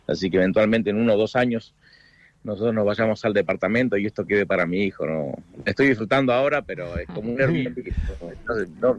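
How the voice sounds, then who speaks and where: An Argentine man with an Argentine Spanish accent, a guest talking casually over a video call, halting with many restarts and repeated words. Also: on the low side, dry recording, highs cut off. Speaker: guest, food business owner in the US. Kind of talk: casual interview chat.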